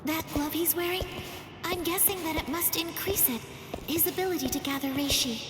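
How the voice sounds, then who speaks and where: A woman voicing a gentle, earnest teenage girl in an anime game, thoughtful and sincere. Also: extremely high pitch, moderate pace. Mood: wondering, reflective. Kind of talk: anime game cutscene dubbing. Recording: echoing reverb, music behind.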